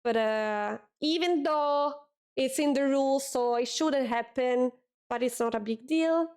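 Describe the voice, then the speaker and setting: A young Italian woman talks casually to her stream chat at a desk mic. Her voice sits a little high, and she repeats words as she goes. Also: a little slow, darkish tone, clean tone. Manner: chatty, repeats words, reads chat aloud.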